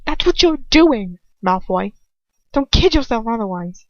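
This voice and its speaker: A woman's voice: on the high side, very animated, measured pace, dry recording, highs cut off. A woman voicing a fierce, loyal young man in an audiobook, pleading and indignant with shaky emotion. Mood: angry accusing. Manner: urgent, pleading, forceful.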